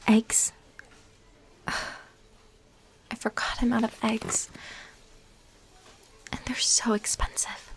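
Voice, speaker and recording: A woman playing a lovesick, defensive and unstable former stalker in an intimate audio drama, speaking in a halting, emotionally intense way. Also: a little high, creaky, darkish tone, breathy edge, exaggerated voice. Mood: muttering, preoccupied. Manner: halting, pleading, emotionally intense, drops into whispers.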